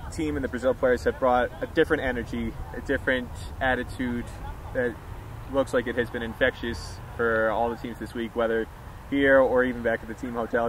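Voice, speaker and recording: A man interviewing athletes after a match, putting questions in measured, deliberate phrases, recorded outdoors. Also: mid-range voice, low-quality recording. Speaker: sports interviewer. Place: outdoor sports ground. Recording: outdoor background noise.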